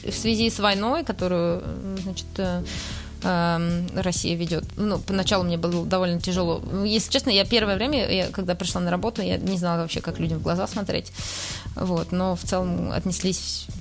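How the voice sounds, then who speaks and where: A woman's voice: on the low side, steady tone, a little fast, over music. A woman from Russia giving thoughtful interview answers, often searching for words. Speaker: interview guest, museum worker.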